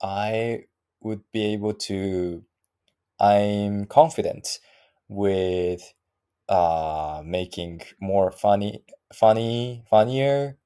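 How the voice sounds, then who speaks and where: Slow, halting man with Japanese accent. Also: low voice, warm tone, smooth. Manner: halting, searching for words, frequent restarts. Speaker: vlogger practicing English. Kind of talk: casual solo monologue.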